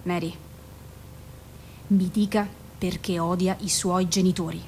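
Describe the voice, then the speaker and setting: An actress playing a measured, firm female doctor in a naturalistic radio drama, questioning in a controlled, formal and probing way. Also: on the low side, little pitch movement, a little mellow, a little hiss or hum. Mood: controlled, insistent.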